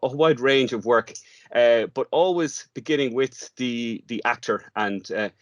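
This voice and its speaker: A man's voice: mid-range voice, measured pace, no room sound, highs cut off. A man, a theatre director, explaining his work as an interview guest, hesitantly, thinking aloud in fragments.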